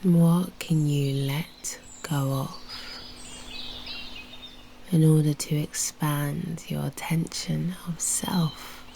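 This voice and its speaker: A British woman guiding a meditation in a soft, breathy, near-whispered voice, soothing and unhurried, leaving long pauses. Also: sits low, steady tone, a little hiss or hum. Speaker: meditation guide and host. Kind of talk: guided meditation narration.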